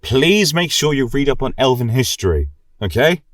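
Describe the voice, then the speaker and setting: A man's voice: medium pitch, big pitch swings, moderate pace, no echo, voice pushed up, close to an everyday voice. A man playing a genial, rambling lecturer at a college of magic, speaking in a flowery, coaxing way in a scripted fantasy audio drama. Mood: brisk, coaxing. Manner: flowery, gently coaxing, chuckling scholar.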